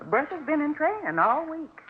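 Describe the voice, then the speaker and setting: A woman's voice: sits high, sing-song, normal speed, slight background noise. An actress playing a chatty, insistent older American neighbour woman in a 1950s radio drama, folksy and fretful, on a tinny old recording. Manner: talkative, folksy, emphatic.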